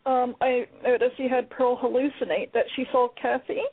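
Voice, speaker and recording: A woman's voice: fairly high pitch, steady tone, normal speed, slightly rough, no room sound. A woman giving her thoughts on a play on a radio show, hesitant and full of ums. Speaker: playwright and guest. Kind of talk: casual radio discussion. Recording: dry phone line.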